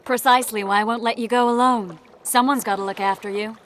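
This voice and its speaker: A woman's voice: fairly high pitch, steady tone, quick pace, slight background noise, close to an everyday voice. An actress voicing a determined, protective female companion in a video game, self-assured and teasing. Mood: insistent, protective. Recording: loud outdoor ambience, birds.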